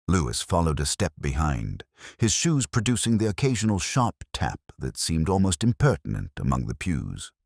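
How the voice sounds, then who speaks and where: Very deep-voiced man narrating a detective story. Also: no room sound, studio quality. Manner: measured, literary, reflective delivery. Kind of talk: scripted first-person detective story narration.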